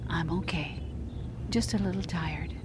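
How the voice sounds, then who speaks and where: Casting: ailing wife played by a woman, slightly low, soft, weary but accepting, radio drama with noisy ambience. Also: unhurried, close to an everyday voice. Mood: tired, hopeful. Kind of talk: audio drama acting.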